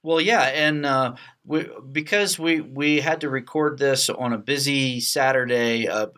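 Male co-host, mid-range voice, halting casual podcast chat. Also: medium pitch, fairly bright tone.

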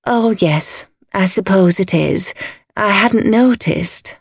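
A woman's voice: mid-range voice, very animated, measured pace, a little mellow, no room sound, clean studio sound, voice pushed up. A woman voicing a timid, easily cowed upper-class English lady in an audiobook, hesitant, faltering and apologetic. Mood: absent. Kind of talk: audiobook character voice.

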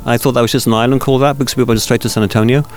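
A man's voice: medium pitch, steady tone, racing, a little mellow, soft background music. A Swiss man being interviewed as a guest, chatty and anecdotal, telling stories with many asides. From Switzerland. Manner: chatty, anecdotal, with fillers and restarts. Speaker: radio festival organizer, guest. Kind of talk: interview conversation.

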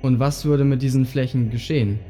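Warm dark-toned man, short phrases. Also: mid-range voice, steady tone, fairly quick. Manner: short imperative phrases inviting listeners to imagine. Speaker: narrator addressing the listeners. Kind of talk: scripted immersive audio play narration. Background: music.

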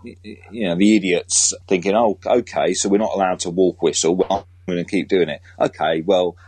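A man's voice: low-pitched, fairly even intonation, brisk, a little mellow, breathy edge, no room sound. A British man, a teacher being interviewed, talking earnestly and emphatically in a conversational way. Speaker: school teacher and author, interview guest.

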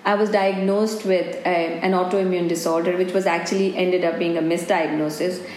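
A woman's voice: lowish voice, fairly even intonation, normal speed, darkish tone, a little hiss or hum. A woman in her forties from India with an Indian accent, a business owner answering interview questions in an earnest, reflective way with many "you know"s. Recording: roomy, with faint background ambience.

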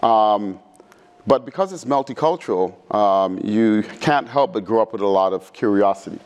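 A middle-aged man from Trinidad who later lived in New York, answering interview questions in an informal, halting, thoughtful way. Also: on the low side, animated, darkish tone. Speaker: guest speaker, physics professor. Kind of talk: conversational interview answers, reminiscing.

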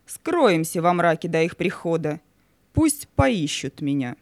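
A woman's voice: lowish voice, sing-song, measured pace, no echo. A woman playing the imperious, mocking spirit of Night in a children's audio play, grand and menacing in manner. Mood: dark secrecy.

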